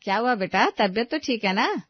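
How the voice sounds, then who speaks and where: A woman's voice: a little high, animated, moderate pace, dull audio, pitched above the performer's own voice. A woman voicing a tender, hurt old grandmother in a storybook tale, plaintive and motherly. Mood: comforting. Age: older.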